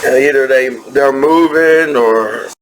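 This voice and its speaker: Slow, halting man in his thirties. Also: medium pitch, over music, rough recording. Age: 30s. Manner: halting, repetitive, with many restarts. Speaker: vlogger. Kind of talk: rambling personal vlog.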